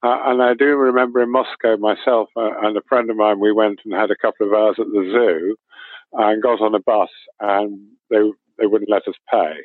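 A man in his sixties, an interview guest recalling a trip in a measured, dryly understated way. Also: on the low side, fairly even intonation, dry recording. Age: 60s. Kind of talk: recalling a school trip in conversation. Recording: tinny phone-line sound.

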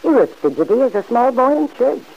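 A kindly, motherly farm aunt who gently scolds and coaxes. She talks in a lively, tuneful voice, slightly raised in pitch. The old broadcast sounds dull and muffled. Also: gravelly, exaggerated voice. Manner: gently chiding, motherly, quick to laugh. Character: Aunt Mary, kindly middle-aged farm aunt. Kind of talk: 1940s radio acting. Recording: dull old radio recording.

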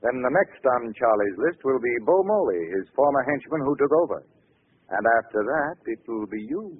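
An actor playing a pushy, cynical newspaper reporter, brash and needling, in 1940s radio style. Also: male voice, medium pitch, lively intonation, normal speed, slightly rough. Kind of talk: 1940s radio crime drama acting. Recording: noisy, narrow-band old radio broadcast.

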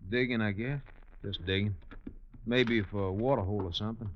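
An actor playing an uneasy, laconic American cowboy sidekick in an old radio western, with plain folksy talk. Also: male voice, lowish voice, steady tone, a little fast, slight background noise, close to an everyday voice. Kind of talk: 1950s radio western acting. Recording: dull old radio recording.

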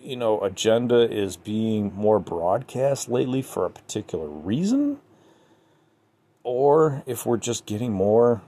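Male podcaster, low relaxed voice, conversational and halting solo commentary addressed to listeners. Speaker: podcast host.

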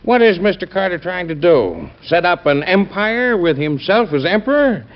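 An actor playing an indignant American senator in old radio drama style, declamatory and emphatic. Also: male voice, high voice, sing-song, moderate pace. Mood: outraged. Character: blustering, indignant, protective United States senator. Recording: dull, band-limited old radio recording.

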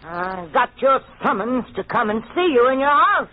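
Excitable, coarse older-radio Frenchwoman, swooping high. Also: moderate pace. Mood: brash, blunt. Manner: earthy, exclamatory, bursting into laughter. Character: coarse, excitable, self-mocking stout French woman. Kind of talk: old-time radio drama acting. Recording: muffled, tinny old radio recording.

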